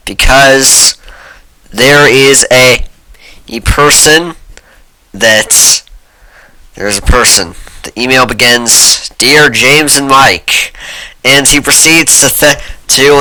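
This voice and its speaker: A man hosting an informal commentary video, speaking haltingly and reading some material aloud. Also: mid-range voice, bright, forceful tone, dry recording, low-quality recording. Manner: halting, amused, often breaking into laughter while reading. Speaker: host.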